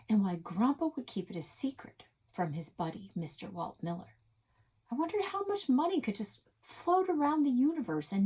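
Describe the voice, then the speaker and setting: A woman's voice: mid-range voice, dark tone, slightly rough. A woman reading a children's novel aloud as its first-person narrator, expressively, leaning on key words. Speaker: read-aloud reader for a public library.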